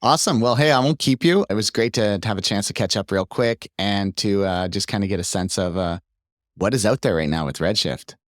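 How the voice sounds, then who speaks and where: A man's voice: on the low side, fairly quick, no room sound, studio quality. A man hosting a podcast in a casual, informal and upbeat interview chat, with hesitant phrasing. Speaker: podcast host.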